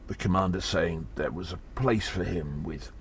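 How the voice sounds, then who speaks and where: A man playing a weary gnome investigator in an audio drama, musing haltingly and deliberately with breathy sighs. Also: low voice. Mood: grave, pondering. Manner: slow, deliberate, halting, pondering. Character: weary gnome investigator reporting on a spy conspiracy. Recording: faint background noise.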